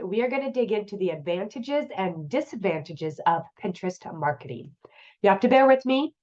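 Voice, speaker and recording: A woman in her thirties hosts a casual tips show, chatty and upbeat as she talks straight to her viewers. Her voice sounds congested and nasal from a cold. The recording is a little dull and muffled. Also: mid-range voice. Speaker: host. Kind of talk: casual online business tips.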